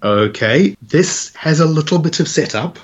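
Croaky man spinning a long idea. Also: on the high side, expressive, a little slow. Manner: rambling, builds ideas with long setups. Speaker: podcast co-host. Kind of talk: casual chat pitching a game idea. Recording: dull lo-fi podcast audio.

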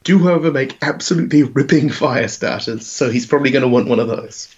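A man with a croaky, slightly rough voice talks casually, letting ideas build through long, rambling setups. His pitch sits in an ordinary middle range. The podcast audio is dull and lo-fi. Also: fast talker. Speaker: podcast co-host. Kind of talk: casual chat pitching a game idea.

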